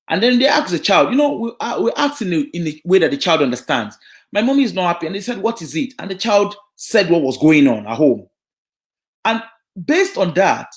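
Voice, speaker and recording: A woman from Africa on a live broadcast, advising parents about social work. She talks earnestly, repeats key points and keeps asking "right?". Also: lowish voice. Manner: animated, keeps checking in with "right?". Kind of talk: advice talk to parents.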